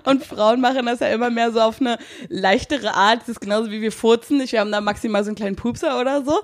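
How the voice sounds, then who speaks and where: A woman's voice: fairly high pitch, fast talker. A young woman co-hosting a podcast, telling a story in a rambling, hesitant way and laughing a lot. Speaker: podcast co-host. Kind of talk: casual chat.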